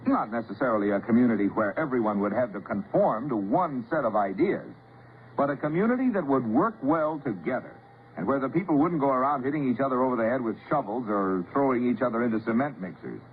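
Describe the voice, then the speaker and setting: A man's voice: medium pitch, lively intonation, quick pace. A man giving an earnest scripted public service address on old radio, putting rhetorical questions to the listener. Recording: tinny, faintly noisy old radio broadcast.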